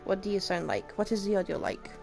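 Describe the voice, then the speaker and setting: Woman streamer with lively, swooping intonation. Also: mid-range voice, quick pace, vocal fry, music in the background. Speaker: game streamer. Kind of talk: casual live game commentary.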